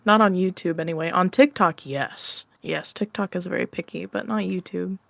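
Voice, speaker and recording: Lowish-pitched girl chatting on a podcast, informal and giggly, dry close sound. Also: moderate pace, creaky, a bit bright, no echo. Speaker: interview guest, audio roleplay creator. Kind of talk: casual chat.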